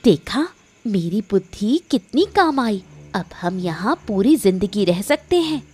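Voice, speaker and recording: Woman acting a mellow-voiced, bossy scheming cartoon wife. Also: medium pitch, sing-song, measured pace. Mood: bossy, smug. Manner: bossy, self-assured. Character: scheming, cunning, ruthless wife plotting against her in-laws. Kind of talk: Hindi cartoon story voice acting.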